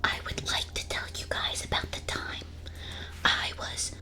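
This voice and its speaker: A woman speaks in a soft whisper, right up at an ASMR microphone. She reads aloud like a streamer reacting to listeners, playful and mock-solemn in her delivery. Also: a little slow. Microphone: close ASMR microphone.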